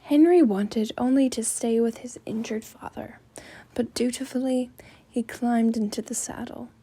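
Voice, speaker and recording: A woman's voice: on the high side, a little slow, warm tone, slightly rough. A woman reading scripted story narration as a student volunteer in readers theater.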